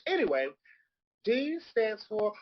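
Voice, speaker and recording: Flamboyant drag queen played by a man, husky, very animated pitch, campy, teasing, comedic table read, lo-fi audio. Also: full character voice. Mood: playful, gleeful. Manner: campy, sassy, theatrical, drawn-out delivery. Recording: dull lo-fi audio.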